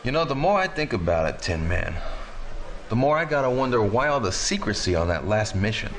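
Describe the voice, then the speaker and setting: An actor playing a suspicious, chatty resistance comms operator in a video game, musing in a casual, confiding way. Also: male voice, mid-range voice, very animated, brisk, a bit bright, slight background noise. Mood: wary, confiding. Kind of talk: video game cutscene acting.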